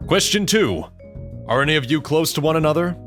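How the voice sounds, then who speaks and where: A man's voice: on the high side, lively intonation, a little fast, fairly bright tone, soft background music. A man acting as a stand-in co-host, reading out questions and trading scripted banter.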